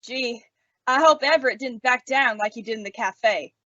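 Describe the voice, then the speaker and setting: A woman's voice: on the high side, normal speed, bright, forceful tone, no echo, dull audio. A woman playing an eager, earnest, inquisitive boy in an old-time radio western. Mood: curious, earnest. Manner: wide-eyed, inquisitive.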